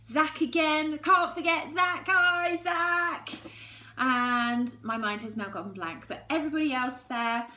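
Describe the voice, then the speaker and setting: A woman speaking as a primary teacher reading aloud to children, with expressive storybook delivery. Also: high voice, slow. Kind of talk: expressive children's storybook narration with casual greetings. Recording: echoey room, faint background noise.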